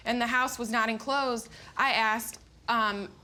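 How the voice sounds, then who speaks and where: A woman's voice: a little high, fairly even intonation, measured pace, sharp tone. A young woman giving polite, orderly testimony as a plaintiff in a TV court. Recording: slight background noise.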